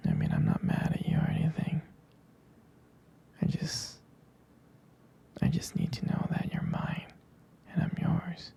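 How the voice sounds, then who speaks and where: A man playing a tender, protective boyfriend in an ASMR roleplay, murmuring hesitantly and intimately in a breathy, husky whisper. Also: a little slow, pauses often, dark tone, lightly acted. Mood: tender jealousy. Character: jealous, protective, tender boyfriend consoling his partner.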